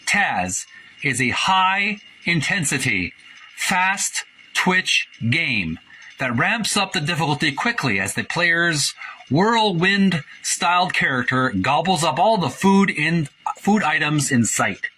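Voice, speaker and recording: A man hosting a casual book-reading video, relaxed with many fillers as he reads passages aloud. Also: on the high side, slow, a little hiss or hum, low-quality recording. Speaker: host.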